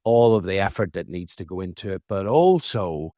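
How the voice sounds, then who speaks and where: Low-voiced Irish man hosting a podcast. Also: lively intonation, moderate pace, warm tone, no room sound. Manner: chatty, repeats starts, casual swearing. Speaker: podcast host. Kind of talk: casual interview chat.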